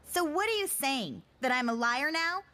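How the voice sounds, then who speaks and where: High-pitched, exasperated teenage girl played by a woman. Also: expressive, moderate pace. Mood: sulky, sarcastic. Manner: whiny, sarcastic, exclamatory. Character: panicky, sarcastic teenage mutant girl. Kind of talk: motion comic voice acting. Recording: voice over very loud music.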